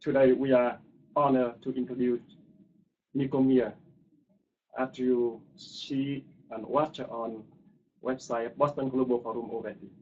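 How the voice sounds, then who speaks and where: A man hosting, giving a formal introduction in halting, non-fluent English phrasing. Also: mid-range voice, little pitch movement, a little mellow. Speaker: host introducing a guest. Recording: dull sound with faint background noise.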